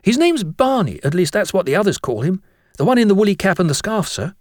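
Excitable high-voiced eleven-year-old schoolboy. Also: male voice, fast, no echo, studio quality. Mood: excited. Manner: breathless eagerness, protesting earnestly.

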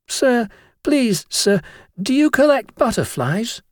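A man's voice: extremely high pitch, big pitch swings, slow, dry recording, studio quality, pitched above the performer's own voice, heavily acted. A man voicing a chatty, melodramatic young schoolboy in an earnest, insistent storybook performance. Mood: eager, pestering. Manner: earnest, insistent, addressing teacher as sir.

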